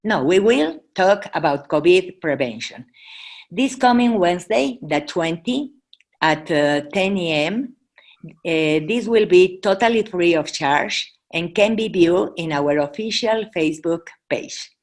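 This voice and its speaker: A woman with a Mexican accent, a native Spanish speaker, giving an informal presentation and halting as she searches for English words. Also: lowish voice, expressive, a little mellow. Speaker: Red Cross presenter.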